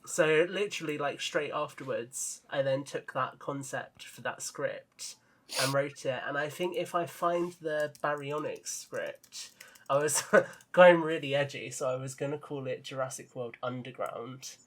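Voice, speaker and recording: A man chatting casually as a podcast guest, talking enthusiastically about his writing and quick to laugh. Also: medium pitch. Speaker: podcast guest, audio drama writer and narrator. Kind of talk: casual chat.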